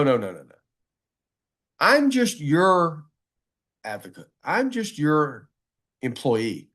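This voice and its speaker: A man speaks in a fairly high register. He presents like an advocate rallying a group, folksy in his wording and emphatic, restating key phrases to drive them home. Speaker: advocacy speaker giving a webinar talk.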